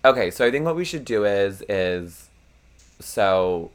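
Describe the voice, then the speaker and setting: Young man, podcast co-host, froggy voice, rambling casual chat, somewhat low. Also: expressive, measured pace, smooth. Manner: chatty, rambling, self-deprecating, laughs often.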